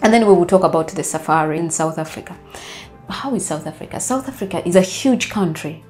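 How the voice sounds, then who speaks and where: A woman's voice: on the low side, expressive, fairly quick, darkish tone. A Ugandan woman in her thirties chats straight to camera, her English shaped by her first language, Luganda. She talks things through as she goes and gestures freely with her hands. Faint music plays behind her lapel-miked voice. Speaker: travel vlogger. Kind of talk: casual talk to camera. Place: bright living room indoors. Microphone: lapel mic.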